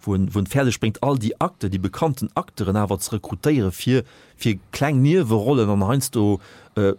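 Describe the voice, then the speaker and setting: A man with a fairly low voice and a Luxembourgish accent talks film on a radio panel. He works through his points haltingly, repeating small words, in a dry, close sound. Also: a little mellow, no echo. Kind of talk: unscripted film discussion.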